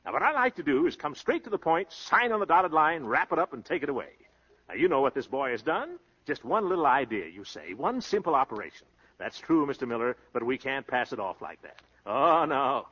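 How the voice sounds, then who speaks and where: A man's voice: mid-range voice, big pitch swings, a little fast, pushed and bright, husky, heavily acted. An American man playing a cocky, glib, slangy small-time blowhard of a husband in a 1930s radio comedy. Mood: pompous salesmanship. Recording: muffled 1930s radio broadcast recording.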